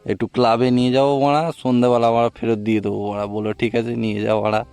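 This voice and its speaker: A man's voice: mid-range voice, flat delivery, fast talker, a little mellow, clear, resonant tone, dry recording, soft background music, close to an everyday voice. A man playing a crude, mischievous older member of a cartoon club gang, mocking in manner. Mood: scheming. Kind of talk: comedy cartoon dialogue.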